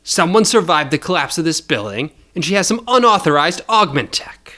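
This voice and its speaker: A man's voice: on the high side, very animated, normal speed, pushed and bright, voice pushed up. A man voicing a smug, cruel male bounty hunter in an audio story, taunting and sneering. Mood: menacing. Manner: taunting, sneering, drawling for effect.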